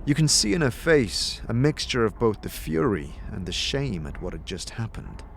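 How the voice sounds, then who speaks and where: A man's voice: medium pitch, big pitch swings, moderate pace, vocal fry, a little hiss or hum. A man narrating a scripted fantasy audio drama in the second person, with a theatrical, vividly descriptive delivery. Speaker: game master and narrator.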